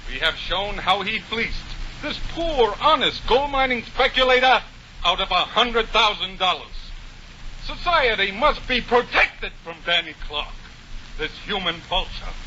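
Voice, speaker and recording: An indignant, pompous prosecutor orates righteously in a very high, piercing voice. It is old-time radio drama, heard through a dull, muffled recording. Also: male voice, lively intonation, unhurried, pushed and bright, heavily acted. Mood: righteous indignation. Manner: oratorical, righteous. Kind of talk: 1940s radio acting. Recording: dull, lightly hissy vintage radio broadcast.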